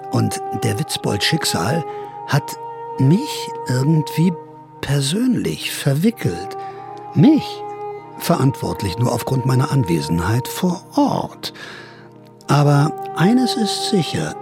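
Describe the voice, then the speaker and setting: A man's voice: mid-range voice, wildly swooping pitch, slow pace, gravelly, over music, full character voice. A man acting a sardonic, rambling veteran of the sixties counterculture who narrates a radio drama as an amateur sleuth, wry and theatrical. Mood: wry musing. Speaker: narrator and investigator.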